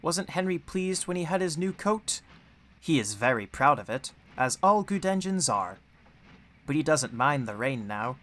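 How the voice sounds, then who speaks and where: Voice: medium pitch, lively intonation, unhurried, fairly bright tone. A storyteller reading a children's audiobook in a scripted narration style.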